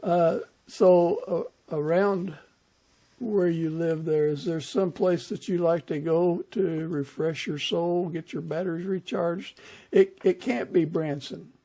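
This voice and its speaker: A man's voice: a little high, takes it slowly, mellow. An older man hosting a podcast, chatting casually as he interviews a guest. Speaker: podcast host.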